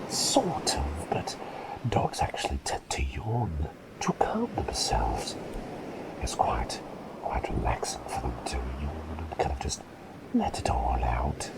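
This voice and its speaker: A man's voice: a bass voice, rough recording. A man whispering as an eccentric, chummy plague doctor in an ASMR roleplay, rambling and repeating phrases as he talks intimately to the listener.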